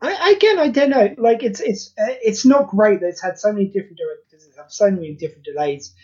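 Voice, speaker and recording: A podcast guest chatting at a middle pitch, animated and expressive, often acting out what others said in quick put-on voices. The recording is a touch dull and lo-fi. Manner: animated, quotes others in acted voices, frequent fillers. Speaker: podcast guest, audio drama creator. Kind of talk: casual chat. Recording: slightly dull lo-fi audio.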